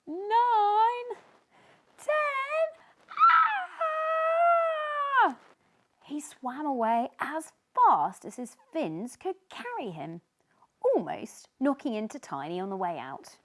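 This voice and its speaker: A woman reading a children's story aloud in an expressive, dramatic, drawn-out way. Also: a little high, a little hiss or hum.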